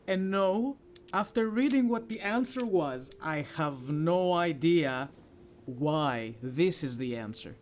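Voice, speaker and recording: A man giving casual let's play commentary, thinking aloud informally as he works through a game. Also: sits high. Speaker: gamer playing a puzzle game.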